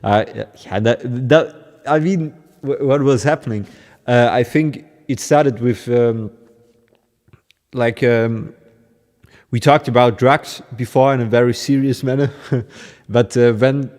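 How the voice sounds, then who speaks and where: A man with a German accent chatting casually with his livestream viewers, chuckling often and pausing with lots of fillers. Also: mid-range voice, darkish tone, long reverb tail. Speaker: musician hosting a livestream. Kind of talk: casual chat with stream viewers.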